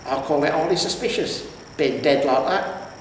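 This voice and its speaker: A man acts out a dim, opportunistic corporal from a comic storybook. He talks bluntly and matter-of-factly, a little high, in a heavily echoing room. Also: moderate pace, close to an everyday voice. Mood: suspicious, scheming. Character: dim, opportunistic male police corporal, cheekily matter-of-fact. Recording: roomy, echoing, distant mic with noise.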